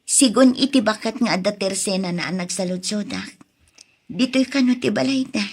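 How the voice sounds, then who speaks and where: A woman's voice: mid-range voice, normal speed, slight background noise, close to an everyday voice. A woman playing a devoted, heartbroken young woman with an Ilocano accent in an audio drama. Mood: earnest, subdued.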